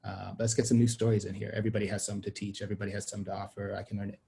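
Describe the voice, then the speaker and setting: A man's voice: on the low side, monotone, rapid-fire, vocal fry, dark tone, slight background noise. A young adult man from Sweden, a guest talking on a video call in a thoughtful, expansive way with frequent restarts. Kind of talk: conversational interview on a video call.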